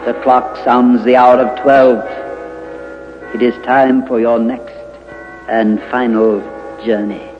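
A man's voice: mid-range voice, flat delivery, takes it slowly, long pauses, music in the background, natural voice. An actor playing a solemn, commanding male spirit in a stately theatrical style, in a 1950s radio drama. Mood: solemn, foreboding. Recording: muffled, noisy 1950s radio with long reverb.

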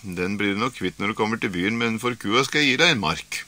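A man voicing a sly country butcher in a folk tale, bargaining in a slow, easygoing way. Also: fairly low pitch, pushed and bright.

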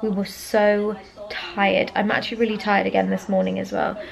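A woman's voice: mid-range voice, little pitch movement, moderate pace, vocal fry, low-quality recording. A young adult woman, chatting casually and informally to camera as a vlogger. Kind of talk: casual vlog chat to camera.

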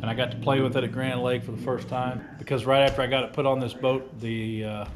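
A man's voice: medium pitch, flat delivery, fast talker. A man, chatting casually and plainly for a fishing vlog, in a folksy way. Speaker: amateur tournament angler and vlogger. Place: outdoors on a gravel lot, then inside a large garage. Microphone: action camera at arm's length. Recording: noisy handheld camera audio, ambient noise.

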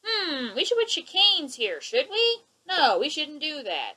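A young woman vents into her webcam from her living room, sarcastic and indignant. Her voice is high, bright and edgy, and it leaps up and down as she hammers her point home. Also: wildly swooping pitch, a little slow. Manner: indignant, sarcastic, gestures a lot. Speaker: vlogger. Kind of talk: ranting webcam vlog. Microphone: webcam at close range.

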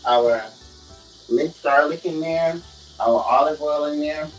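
Slow, chatty man cooking in an echoey kitchen. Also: fairly high pitch, distant mic, soft background music. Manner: chatty, keeps saying "okay" and "guys". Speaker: cooking vlogger. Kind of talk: casual cooking demonstration. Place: small home kitchen, indoors. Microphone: camera set on the counter, away from him.

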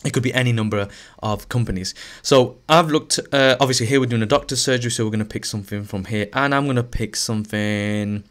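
A man's voice: mid-range voice, a little fast, few pauses. Man teaching over a screen recording. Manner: relaxed, thinking aloud with fillers and restarts. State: losing his voice.

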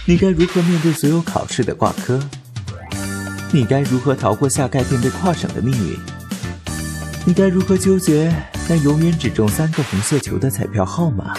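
A man playing a melodramatic, clingy, self-pitying young boyfriend in a comic audio drama, with cheeky, mock-solemn and drawn-out delivery. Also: a little high, animated, music in the background.

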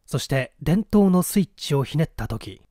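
Male audiobook narrator, mellow and dry-sounding, dramatic storytelling style. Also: a little high, big pitch swings, normal speed, no echo.

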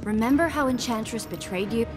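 A woman's voice: fairly high pitch, a little fast, music in the background, close to an everyday voice. A woman voicing a troubled, defiant young woman possessed by a demon, in a video game. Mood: wary. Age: young adult.

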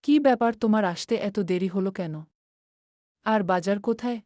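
A woman's voice: medium pitch, moderate pace, dark tone, no room sound, studio quality, natural voice. A woman playing an anxious, consoling village wife and mother in a cartoon story. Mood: worried.

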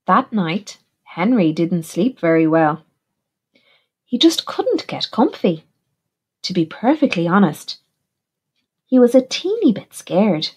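A woman teacher reading a picture book aloud in an expressive, deliberate way for young children. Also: fairly low pitch, husky. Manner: animated, deliberate, puts questions to young listeners. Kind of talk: expressive storybook narration for children.

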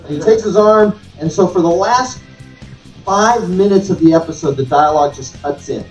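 A man's voice: high voice, normal speed. A man casually telling an anecdote as an interview guest, recorded in a room at a distance. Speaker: interview guest, show creator. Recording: echoey, distant, dull room audio. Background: faint music.

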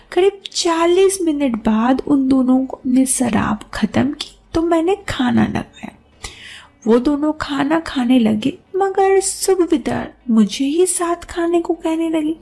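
High-pitched woman storyteller, slow and creaky. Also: animated, warm tone. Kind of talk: first-person scripted story narration.

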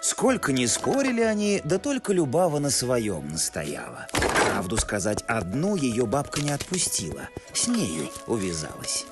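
An actor playing a wry, folksy storyteller narrating a cartoon folk tale. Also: male voice, mid-range voice, wildly swooping pitch, unhurried, loud music behind the voice. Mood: wry telling. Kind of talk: scripted narration.

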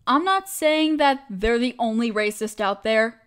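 A woman's voice: fairly high pitch, animated, measured pace, a bit bright, clean tone. A woman playing a caring, protective best friend in a comforting audio roleplay, speaking earnestly and reassuringly, straight to the listener.

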